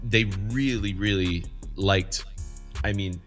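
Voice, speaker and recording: Bright-voiced man in casual podcast chat. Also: lowish voice, slow pace, soft background music. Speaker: podcast host and DJ. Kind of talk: casual chat among DJ friends.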